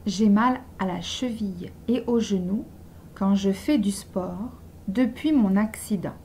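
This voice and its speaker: A woman slowly reading simple model sentences aloud for beginner language learners, pronouncing each one with care. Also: medium pitch, dark tone, a little hiss or hum. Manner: slow, carefully articulated dictation. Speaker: language teacher.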